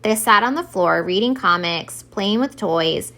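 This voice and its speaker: Animated woman reading a children's story. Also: mid-range voice, a little slow. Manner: expressive. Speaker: story time reader.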